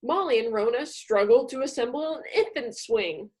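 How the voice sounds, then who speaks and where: A woman's voice: on the high side, normal speed, darkish tone. A woman comedian reading scripted comedic narration with wry commentary.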